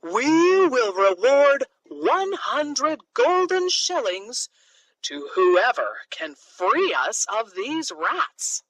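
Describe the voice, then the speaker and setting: A woman's voice: a little high, sing-song, very slow, a bit bright, no echo, pitched above the performer's own voice, heavily acted, low-quality recording. A woman playing pompous, greedy town leaders in a children's storybook, declaiming haughtily and dismissively. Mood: proclaiming.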